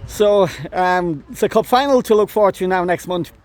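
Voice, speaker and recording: A man's voice: high voice, normal speed. A man with an Irish accent interviewing after a football match, asking questions with frequent hesitations. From Ireland. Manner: hesitant, many "um"s. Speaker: club interviewer.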